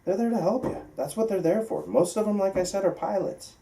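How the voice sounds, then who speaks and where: Mellow American man teaching, distant mic. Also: high voice, fairly quick. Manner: conversational, emphatic, checks understanding with "right?". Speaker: flight instructor teaching pilots. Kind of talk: informal classroom lecture with questions.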